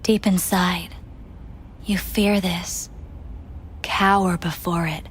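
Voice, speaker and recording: An actress playing an enigmatic, soothing female companion in a cyberpunk video game, speaking in a hushed, breathy, deliberate way. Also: lowish voice, fairly even intonation, a little hiss or hum. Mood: hypnotic. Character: enigmatic, soothing young female companion who probes minds. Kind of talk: video game dialogue acting.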